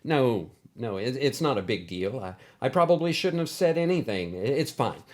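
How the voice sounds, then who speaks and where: Polite thirtysomething male ex-pupil performed by a man, somewhat raised pitch, halting, sheepish, story narration dialogue. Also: animated, darkish tone, lightly acted. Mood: awkward, reassuring. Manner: halting, apologetic.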